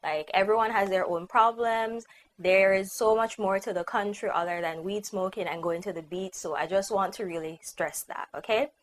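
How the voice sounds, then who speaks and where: A woman's voice: medium pitch, moderate pace, a little hiss or hum. A young woman born in Guyana and raised in Jamaica, with a Jamaican accent, chatting casually and upbeat to her vlog audience with lots of "like" fillers. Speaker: vlogger.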